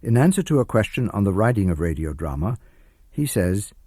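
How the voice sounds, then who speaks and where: A man reads formal radio narration in a lowish, very mellow voice. The sound is close and dry, and his delivery is measured. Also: very animated, husky, no room sound. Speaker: radio announcer and translation reader.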